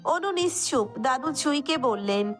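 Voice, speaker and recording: A woman's voice: sits high, expressive, a little slow, soft background music. A woman narrator reading a scripted romantic story.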